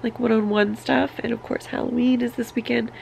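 Casting: woman, thirties, congested and under the weather, heavy vocal fry, casual bedroom vlog with faint background noise. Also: on the high side, little pitch movement, fairly quick, a little mellow. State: congested with a cough, sick. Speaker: family vlogger. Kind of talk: casual personal vlog update. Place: small bedroom, indoors. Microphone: camera held at arm's length.

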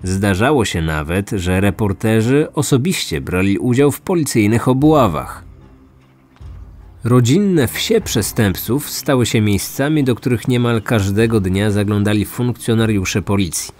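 A man's voice: lowish voice, lively intonation, a little slow, darkish tone. A man hosting a true-crime podcast, reading scripted storytelling narration. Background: music.

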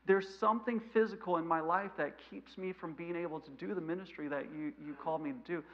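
A man in his thirties with a mild stutter, preaching a sermon in a church sanctuary, animated and gesturing, swinging between loud emphasis and quiet asides. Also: a little high, fast talker. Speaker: missionary preacher. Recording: lo-fi church recording.

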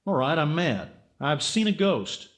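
A man's voice: on the high side, big pitch swings, measured pace, fairly bright tone. A man playing an earnest, stubborn American writer in a radio drama, blunt and insistent. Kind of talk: radio drama acting and narration.

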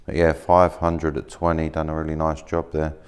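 A man in his thirties casually showing materials at a workshop table, talking in a relaxed, rambling way with plenty of fillers and restarts. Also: a bass voice, normal speed, clean tone. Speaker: maker demonstrating laser-cut materials. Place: workshop, indoors, at a work table.

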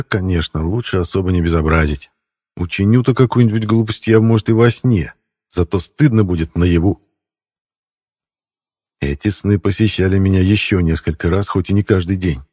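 A man's voice: low-pitched, moderate pace, warm tone, no room sound, studio quality. A man narrating an audiobook in the first person with a wry, ironic storytelling manner.

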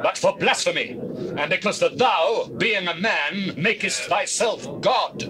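A hostile Judean man in a Bible audio drama. He declaims accusations in a highish, swooping voice, with a crowd clearly heard around him on an old cassette. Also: animated, measured pace. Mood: outraged. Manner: declamatory, challenging.

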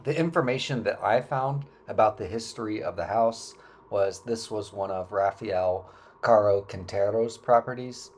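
A man in his forties narrating a travel vlog about a hike, relaxed and explanatory, with frequent filler words. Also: on the low side, moderate pace. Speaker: travel vlogger. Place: indoor room, then voice-over on hike footage.

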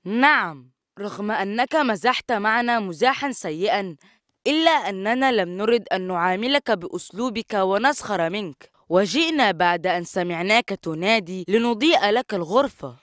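An actor voicing a calm, sensible teenage boy, the wise one of the family in a cartoon. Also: mid-range voice, normal speed, no echo, clean studio sound, natural voice. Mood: calm, reproving. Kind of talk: animated cartoon dialogue.

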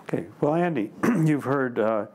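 A man moderates a conference panel in a measured, middle-pitched voice. He turns to a guest and invites his view. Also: lively intonation, a little mellow.

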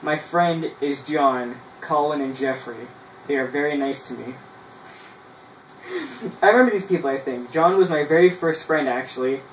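A man, casually reading his old writing aloud in a halting way, with self-mocking asides, in a small bedroom. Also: a little high. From Canada. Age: 20s. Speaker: vlogger. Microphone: camera a few feet away. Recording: roomy, distant mic with noise.

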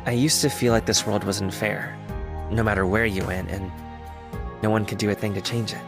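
A man's voice: lowish voice, fairly even intonation, brisk, over music, lightly acted. A man voicing an earnest, humble, eager young engineer in a video game, with casual, clipped, sincere phrasing. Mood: earnest, reflective. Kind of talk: scripted game character voice lines.

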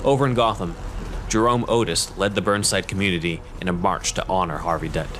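A man's voice: low-pitched, brisk, fairly bright tone, slight background noise. A man narrating a scripted audio drama.

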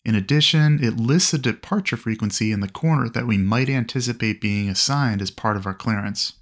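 A man's voice: mid-range voice, brisk. Man narrating a flight lesson. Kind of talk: instructional narration.

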